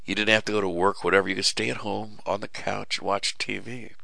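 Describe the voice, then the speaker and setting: A man's voice: fairly low pitch, flat delivery, a little fast, gravelly, sharp tone, no echo. A middle-aged man hosting a radio show, giving a folksy, preachy, rambling monologue full of fillers and restarts, his voice starting to give way. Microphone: studio mic with cough button.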